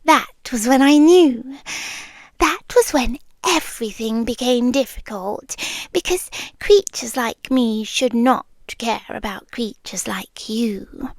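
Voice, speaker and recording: Woman as a slow-speaking, possessive British monster queen. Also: a little high, no room sound. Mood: wistful, longing. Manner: slow, hushed, teasing, often dropping into whispers. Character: possessive immortal monster queen, amused and coaxing, wanting a human husband. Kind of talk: intimate ASMR roleplay.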